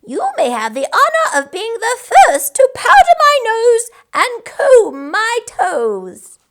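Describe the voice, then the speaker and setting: A woman's voice: extremely high pitch, huge pitch swings, fairly bright tone, pitched above the performer's own voice, exaggerated voice. A woman voicing a small, bossy, sly female storybook creature who cackles and draws out her words in a wheedling way. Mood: bossy, triumphant. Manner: cackling, drawn-out wheedling delivery.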